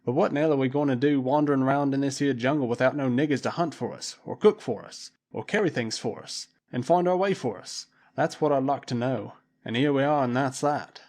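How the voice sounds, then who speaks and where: A man's voice: mid-range voice, normal speed, a little mellow, natural voice. A man voicing a coarse adventurer who growls and grumbles. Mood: grumbling.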